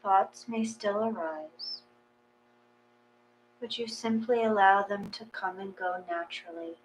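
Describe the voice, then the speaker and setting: A woman reading a guided meditation in a gentle, measured, calming way. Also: medium pitch, poor audio. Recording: clear mains hum.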